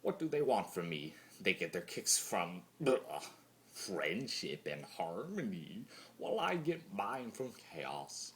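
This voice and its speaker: A man's voice: low voice, very animated, takes it slowly, slight background noise. A man voicing a bitter, mocking male spirit of chaos in a theatrical, brooding, sardonic dramatic reading of fan fiction.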